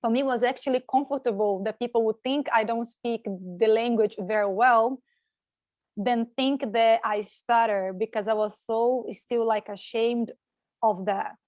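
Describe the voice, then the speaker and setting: A young woman from Brazil whose first language is Portuguese speaks in a slightly high voice. She talks haltingly, with fillers and repeated words. The sound is dry and close. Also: steady tone, darkish tone, no echo. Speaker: guest. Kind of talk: casual interview talk.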